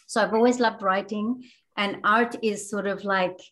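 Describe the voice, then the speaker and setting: A woman's voice: mid-range voice, steady tone, moderate pace, a bit bright. Enthusiastic woman with a mixed Australian accent. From France, raised in Australia. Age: middle-aged. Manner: enthusiastic, with frequent fillers and restarts. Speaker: guest author and artist. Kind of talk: casual book club conversation.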